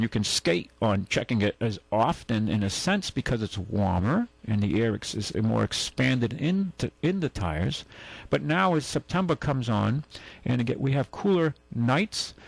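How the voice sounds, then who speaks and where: A man's voice: medium pitch, vocal fry, no room sound, rough recording. A man hosting a call-in car radio show, talking casually and rambling with many fillers and restarts.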